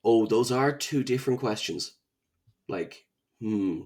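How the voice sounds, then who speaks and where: Animated man with swooping pitch. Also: slow pace, husky. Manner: animated, playfully dramatic. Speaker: podcast guest. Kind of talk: casual interview chat.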